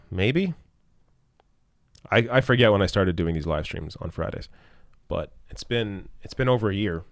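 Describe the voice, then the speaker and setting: A man's voice: low voice, dry recording, studio quality. A man hosting a live stream and reading out viewer questions, thinking aloud with ums and restarts as his voice gives out. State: losing his voice, clearing his throat. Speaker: live stream host answering super chats.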